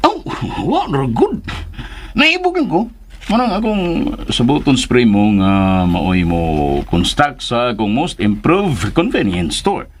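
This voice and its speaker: A man's voice: mid-range voice, huge pitch swings, slow, a little hiss or hum. A man playing a meddlesome, boastful old man in a Filipino radio drama, stammering, hesitant and given to exclamations. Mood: evasive boasting. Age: older.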